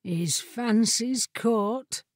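A woman's voice: a little high, a little mellow, husky, no room sound, studio quality, heavily acted. A woman voicing a lugubrious, self-important talking armchair in a storybook, drawing out its sleepy complaints. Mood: mournful. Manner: lugubrious, slow, drawn-out complaint.

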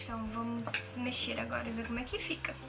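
A woman's voice: on the high side, monotone, fast, vocal fry, very noisy. A woman in her twenties chatting casually through a home cooking vlog, restarting sentences often, in a kitchen on a camera mic. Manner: chatty, hesitant, many restarts and fillers. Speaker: cooking vlogger. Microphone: camera mic at arm's length. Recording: music playing under the voice. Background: background music and clinking dishes.

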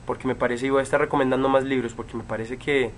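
A man in his twenties, from Chile, casually answering fan questions on a livestream from a room at home, relaxed, with many fillers and pauses. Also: mid-range voice, a little hiss or hum. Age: 20s. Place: home room with bookshelves, indoors. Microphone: webcam.

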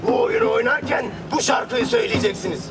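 A man's voice: very high-pitched, heavy background noise. A man voicing a domineering seven-headed monster in a fairy tale, curt and commanding as it corrects children. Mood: bossy, insistent. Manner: commanding, curt, drawn-out refusals. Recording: distant outdoor street recording under loud music.

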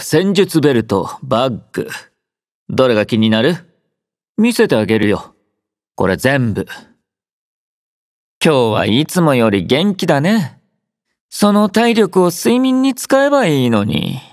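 An actor playing a gentle, quietly caring young male android soldier in a Japanese game, soft-spoken, drowsy and composed, with a mildly teasing manner. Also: a little high, dry recording, studio quality. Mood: playful, patient. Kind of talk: Japanese game character voice acting.

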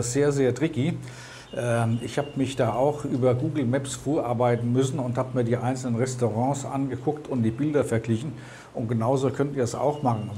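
A man's voice: medium pitch, mellow, slight background noise. A man in his sixties from Germany chatting and explaining as he hosts a casual travel vlog. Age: 60s. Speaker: vlog host.

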